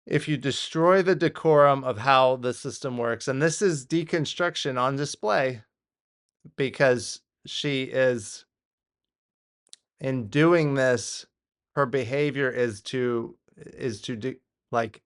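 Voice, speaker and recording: An American man giving off-the-cuff political commentary in a halting, repetitive, exasperated way. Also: medium pitch, a bit bright, clear, resonant tone.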